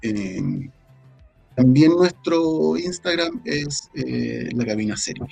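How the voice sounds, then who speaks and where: A Chilean man talking casually as an interview guest, halting and thinking aloud as he goes. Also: mid-range voice, animated, creaky, darkish tone, husky, dry recording. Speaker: guest, audio drama creator. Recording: faint music behind. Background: faint music.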